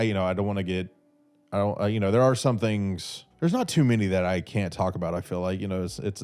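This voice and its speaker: Rambling podcast host, slightly stuffy. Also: male voice, on the low side, a little mellow. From North Carolina. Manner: rambling, many fillers and restarts. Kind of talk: casual solo Q&A podcast. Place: small room with brick wall, seated in armchair. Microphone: red studio mic on boom arm.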